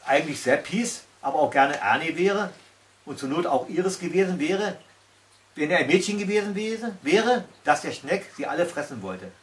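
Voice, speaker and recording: Older man storytelling, fairly high voice. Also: animated, moderate pace. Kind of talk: reading a Christmas fairy tale aloud. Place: small tiled-stove corner indoors at home. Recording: recorded from a distance.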